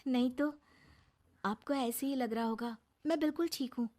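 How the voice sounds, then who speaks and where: A woman voicing a gentle young daughter-in-law who speaks in a deferential, reassuring way in a story. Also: high voice, moderate pace, pitched above the performer's own voice, natural voice.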